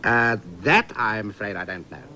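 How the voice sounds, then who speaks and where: A man's voice: fairly low pitch, expressive, normal speed, bright, forceful tone. A man with a South African accent playing an exasperated, sarcastic shop customer in a 1970s radio comedy sketch. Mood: strained politeness. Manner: polite but seething, builds to frantic outbursts.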